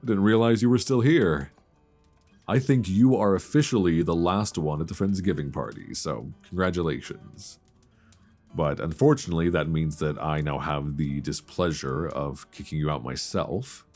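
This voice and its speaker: A man's voice: very deep voice, normal speed, a little mellow, faint music behind. A man playing a playful, easily flustered old friend in an intimate, conversational romantic audio roleplay, teasing and stammering as he chats. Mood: playful welcome. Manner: teasing, stammering, chuckling banter.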